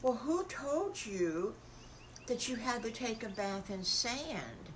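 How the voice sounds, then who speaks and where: A kindly storybook mother pig, voiced by a woman. She is reassuring and polite, with a slow, soothing delivery. Faint noise sits behind her. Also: on the high side, big pitch swings, pitched above the performer's own voice. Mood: gentle.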